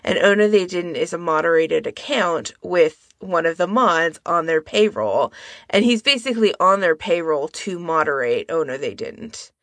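A woman's voice: fairly low pitch, lively intonation, normal speed, no echo. A woman co-hosting a podcast, explaining things in an informal, conversational way. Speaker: podcast co-host. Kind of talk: casual explanatory podcast chat.

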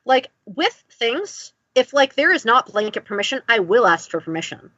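Higher-voiced woman, blunt podcast chatter. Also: sing-song, moderate pace, sharp tone, no room sound, lo-fi sound. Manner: blunt, informal. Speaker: podcast co-host. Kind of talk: casual podcast chat.

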